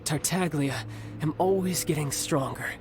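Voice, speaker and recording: A man's voice: a little high, normal speed, music in the background, lightly acted. A man playing a cocky but affectionate young warrior and big brother in a video game, with playful bravado. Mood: self-mocking bravado. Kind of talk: video game character acting.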